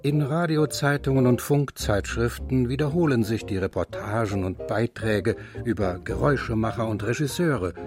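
A man reading the narration of a radio documentary feature in a measured, clear way. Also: medium pitch.

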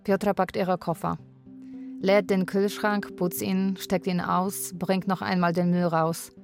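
Carer lady, low flat voice, wistful, frank, radio drama, bit of music under it. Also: unhurried, creaky. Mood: narrating, matter-of-fact. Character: Polish live-in carer, woman, wistful and frank. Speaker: actor. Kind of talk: radio drama narration and dialogue.

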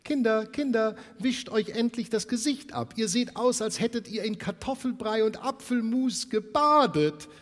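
A man voicing a sharp-tongued working-class mother, scolding loudly and quickly. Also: big-room sound. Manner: shrill, fast indignant scolding.

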